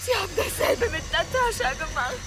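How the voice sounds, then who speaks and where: A tense woman, very high voice. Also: expressive, normal speed, over loud music. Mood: grave. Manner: urgent, intense. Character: tense, weary woman survivor in a sci-fi disaster. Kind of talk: German radio drama acting. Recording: very noisy outdoor scene, animals and vehicles.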